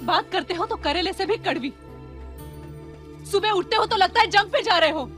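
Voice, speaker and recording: A high female voice with faint music behind it: a weary, wounded wife in a film drama. She is earnest, firm and full of reproach, speaking plainly. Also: normal speed, a bit bright. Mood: hurt, reproachful. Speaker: actor in a film.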